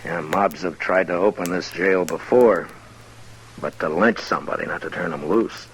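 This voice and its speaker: An actor playing a stern, steady U.S. marshal in an old-time radio western, measured, authoritative and plain-spoken. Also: male voice, sits low, a little hiss or hum, close to an everyday voice, low-quality recording. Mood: puzzled.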